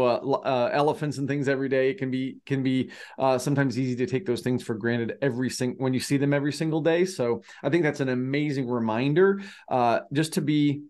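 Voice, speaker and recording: A man's voice: mid-range voice, darkish tone. A man with an American accent co-hosting a podcast in a casual, conversational way. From United States. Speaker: podcast co-host.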